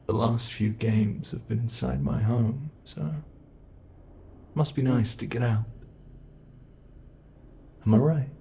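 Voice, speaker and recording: Man playing a gravelly, taunting monster. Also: mid-range voice, steady tone, warm tone, a little hiss or hum. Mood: playful, taunting. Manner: taunting, unhurried, theatrical. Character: sinister playful male monster hunting its captive. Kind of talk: ASMR horror roleplay.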